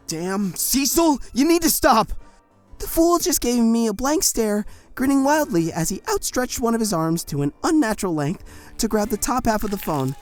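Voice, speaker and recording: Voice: mid-range voice, close to an everyday voice. Exasperated warden narrating, voiced by a performer. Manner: frantic, exasperated storytelling. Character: harried prison warden narrating in first person. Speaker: first-person narrator. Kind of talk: fan audio drama narration.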